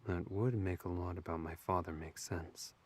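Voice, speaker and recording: A man's voice: low voice, steady tone, moderate pace, a little mellow. A man playing a wry, abrasive young elf nobleman in an audio drama, with a dry, sardonic delivery. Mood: curious, uneasy.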